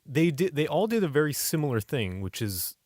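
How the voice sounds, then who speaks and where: A man's voice: a little high, big pitch swings, brisk, a little mellow, no room sound, studio quality. A man co-hosting a podcast in casual discussion, questioning and debating his points. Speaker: podcast co-host.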